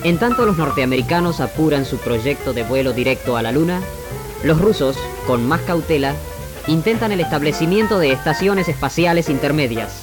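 A man reading scripted narration as a presenter on 1960s radio. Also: a little high. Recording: archival radio recording with music behind. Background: music.

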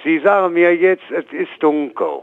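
Gravelly, puzzled man on a tinny phone. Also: on the high side. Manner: puzzled, halting, repeats back what he hears. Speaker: call taker being pranked. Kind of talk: unscripted phone conversation. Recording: tinny narrow phone line.

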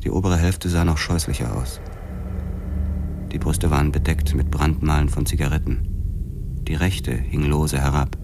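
Hardboiled detective narrator, extremely low pitch, sombre, measured, noir radio drama, noisy background. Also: male voice. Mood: grim. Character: hardboiled young male police detective, terse and brooding. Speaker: first-person narrator. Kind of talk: scripted noir crime narration.

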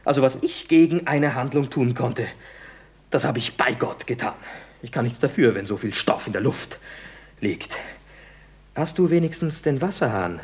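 Young male playwright, radio comedy, swooping intonation, slightly husky, ironic, mock-courteous. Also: medium pitch, expressive, normal speed. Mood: teasing, ironic. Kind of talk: German radio comedy acting.

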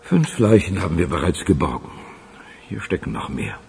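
A man acting a gruff, experienced police chief under strain in a German radio crime drama. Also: low-pitched, very animated, moderate pace, a little mellow, soft background music, exaggerated voice. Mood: grave.

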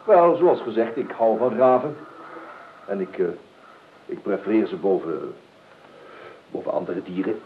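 An actor in a Dutch radio play, playing an urbane, evasive nephew with a hesitant, florid, ironically polite way of talking. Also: male voice, fairly low pitch, expressive, measured pace, pauses often, a little hiss or hum. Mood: airy, ironic. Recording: dull lo-fi radio recording.

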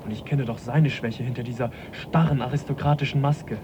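An actor playing an earnest, courteous young gentleman naturalist in a radio drama, questioning and polite yet persistent. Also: medium pitch, fairly even intonation, fairly quick, highs cut off, noisy background, natural voice. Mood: troubled, reflective.

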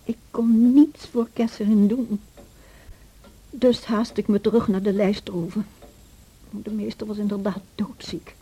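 Soft, husky housekeeper. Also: female voice, mid-range voice, steady tone, unhurried, low-quality recording. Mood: grave recounting. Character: loyal, caring housekeeper recounting a family's story. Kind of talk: radio play storytelling.

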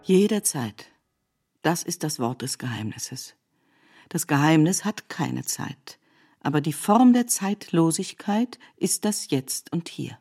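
Mellow-voiced woman, literary radio narration. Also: sits low, animated, slow, soft background music.

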